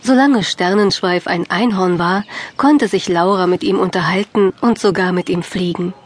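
A woman narrating a scripted children's audiobook in a measured, clearly phrased storytelling manner. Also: on the low side.

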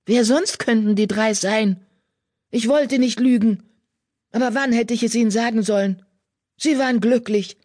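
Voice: medium pitch, very animated, unhurried, husky, voice pushed up, exaggerated voice. A narrator voicing a proud female cat, a former leader, indignant and defensive as she protests urgently.

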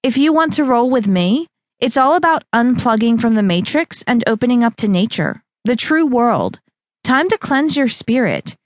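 A woman voicing a pushy, upbeat young female fitness trainer who coaxes loudly. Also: fairly high pitch, animated, moderate pace, dry recording, studio quality, pitched above the performer's own voice, close to an everyday voice. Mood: bossy. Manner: pushy, cheerful, loud coaxing.

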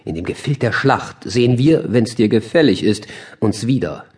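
An actor playing a commanding, sceptical sovereign in a classical verse drama, with imperious, declamatory delivery. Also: male voice, mid-range voice, animated, moderate pace, darkish tone, husky, far from the mic. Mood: stern. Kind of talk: classical German verse drama audiobook acting.

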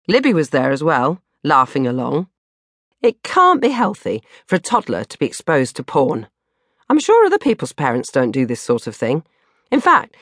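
Very animated woman reading a comic diary. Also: mid-range voice, no room sound, clean studio sound. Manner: dramatic, exasperated teenage-diary delivery. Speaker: audiobook narrator reading her own novel. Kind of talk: comic first-person diary narration.

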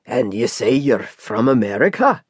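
A kindly older Scottish cabbie, as the storyteller puts him on, lower and slower than the narration. He drawls in a friendly, chatty way, and the sound is close and dry. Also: big pitch swings, husky, no room sound. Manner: slow, warm, folksy.

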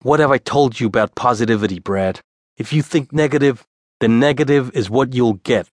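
A man's voice: mid-range voice, a little fast, dry recording, clean studio sound, lightly acted. A man voicing a bossy, proud male ringleader who rallies his troupe in a declamatory, boastful way. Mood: firm, bossy.

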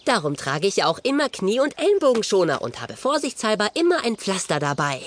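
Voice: mid-range voice, sing-song, fairly quick, noisy background, natural voice. A performer voicing an anxious, bookish young sheep in a children's audio play, earnest, careful and slightly know-it-all. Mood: earnest, resigned.

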